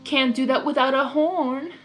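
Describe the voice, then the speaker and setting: A woman takes on a sly male chaos creature from a fan fiction story. The voice is high for such a villain, drawling and theatrical, smug and mocking, with music behind it. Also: a little slow, a bit bright. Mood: smug negotiating. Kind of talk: fan fiction character reading.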